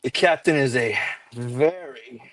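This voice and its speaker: A woman's voice: a bass voice, slow pace, poor audio. A woman playing a polite, slightly jealous female starship doctor in a live script table read. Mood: polite, guarded.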